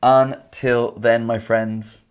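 A man from England with an English accent, teaching a live online English lesson, slowly repeating each question and thanking viewers by name. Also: mid-range voice, little pitch movement, clear, resonant tone. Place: indoors, in Scotland.

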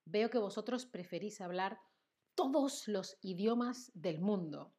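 A young Andalusian woman runs a live Spanish lesson, talking unhurriedly in a chatty, playful way. She laughs often, greets viewers by name, and drags out lists with hesitant fillers. Her voice sits at a middling pitch. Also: animated, a little slow, dark tone, breathy edge. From Seville, southern Spain. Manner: playful, chatty, laughs often, reads chat names aloud. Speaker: Spanish teacher hosting a live stream. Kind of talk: live-stream lesson with chat interaction.

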